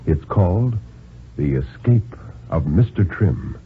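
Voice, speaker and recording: An American man giving a dramatic, expressive reading of a thriller story for 1950s radio. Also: sits low, slow, a little hiss or hum. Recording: narrow 1950s radio, music behind.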